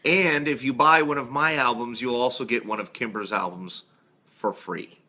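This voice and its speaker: A man's voice: mid-range voice, normal speed, sharp tone. A man, a musician guest on a radio show, chatting casually and giving his opinions informally.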